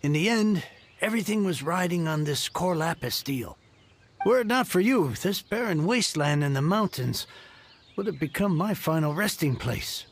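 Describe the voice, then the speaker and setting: A man's voice: on the high side, lively intonation, normal speed, a little hiss or hum. An actor voicing a grateful, middle-aged male merchant heir in a game, earnest and effusive.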